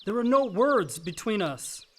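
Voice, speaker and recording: An actor playing a frank, hot-headed young younger brother in a naturalistic radio drama, casual and profane. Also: male voice, high-pitched, big pitch swings, measured pace, exaggerated voice. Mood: defiant, hurt.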